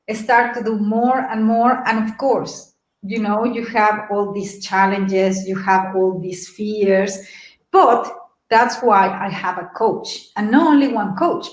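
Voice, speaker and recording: A middle-aged woman with a Mexican Spanish accent tells her story in a motivational webinar, lively and animated, turning to her listeners with questions. Her voice sits fairly high. It comes over a video call from an echoey room. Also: takes it slowly. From Mexico City. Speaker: webinar presenter.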